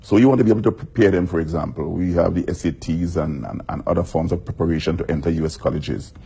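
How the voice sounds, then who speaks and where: Man, league official, very raspy gravelly low voice, formal and measured. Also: dark tone. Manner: formal, measured, repeats words when restarting. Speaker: school football league president. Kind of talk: live TV interview.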